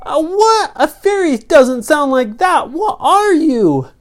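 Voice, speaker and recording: Young boy, acted by a woman, high and loud, excitable, earnest, exclamatory, children's story hero. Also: slow pace, exaggerated voice. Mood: pleading, puzzled.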